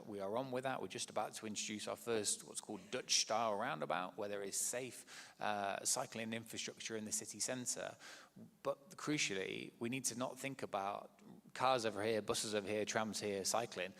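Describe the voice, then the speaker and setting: Gravelly man on a hall panel. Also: fairly low pitch, normal speed. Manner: earnest, steady policy talk with some hesitations. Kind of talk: symposium panel talk.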